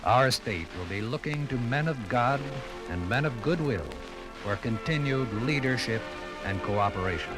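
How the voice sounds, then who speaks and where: A man delivers scripted narration in the style of 1950s radio documentary. His medium-pitched voice is solemn and measured, with stately phrasing. Music plays softly behind him. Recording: crackly 1950s radio record.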